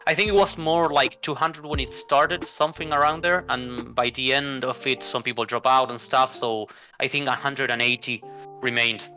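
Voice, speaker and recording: A man's voice: medium pitch, fairly even intonation, normal speed, sharp tone, soft background music, poor audio. A man from Argentina, a card game player guesting in an interview, talking easily with frequent restarts and fillers. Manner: easygoing, restarts often, many likes and rights. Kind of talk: casual chat.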